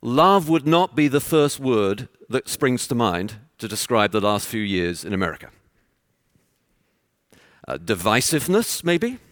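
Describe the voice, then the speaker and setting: A man with a Texan accent preaching a conference sermon in an earnest, conversational way, pausing as he goes. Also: mid-range voice, no room sound, clean studio sound. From Texas. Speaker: visiting preacher. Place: church conference.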